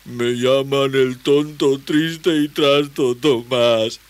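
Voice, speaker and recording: A man's voice: medium pitch, steady tone, voice pushed up. A man voicing a desolate creature from a children's story, sobbing and whining as it drags out its words. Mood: tearful misery. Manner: slow, drawn-out sobbing whine. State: crying. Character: desolate, weeping creature under a bridge, forever sad.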